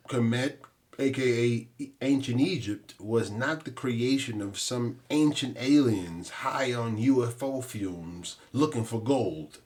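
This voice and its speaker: A man hosts a podcast with a scripted monologue in a mid-range voice. His pitch moves up and down in a lively way, and his delivery is earnest and deliberate. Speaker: podcast host.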